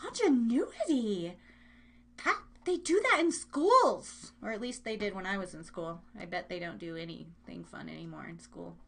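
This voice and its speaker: A woman's voice: medium pitch, expressive, moderate pace, creaky, fairly bright tone. A woman hosting a live internet radio show, chatting casually in a playful, rambling way and repeating her phrases as she runs games.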